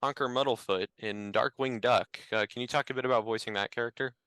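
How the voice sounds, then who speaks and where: A man's voice: mid-range voice, steady tone, fairly quick, sharp tone, smooth, no room sound. A man co-hosting an interview show, speaking in a casual conversational style. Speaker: podcast co-host.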